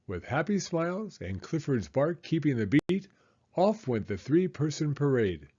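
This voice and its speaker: Male storybook reader for children: expressive, animated delivery, soft mellow tone. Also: a little high, measured pace, husky, highs cut off. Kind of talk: children's read-aloud narration.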